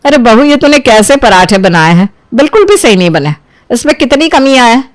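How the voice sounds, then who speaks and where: A woman's voice: fairly high pitch, big pitch swings, brisk, no room sound, clean studio sound, pitched above the performer's own voice. A woman voicing a critical older mother-in-law in a family story, scolding and boastful. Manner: scolding, reproachful. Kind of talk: acted dialogue in a Hindi family storybook tale.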